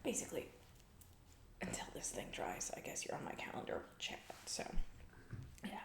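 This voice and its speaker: A woman playing a self-absorbed, distracted female CEO in a comedic ASMR roleplay, rambling flippantly in a breathy whisper with casual asides. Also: fairly low pitch, echoey room.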